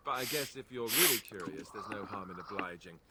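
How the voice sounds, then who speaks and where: An actor playing a wry, self-deprecating young warrior in a fantasy video game, with dry, conversational joking. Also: male voice, lowish voice, lively intonation, fairly quick, heavy background noise, lightly acted. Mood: dry, playful. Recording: game audio with prominent background music. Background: game music.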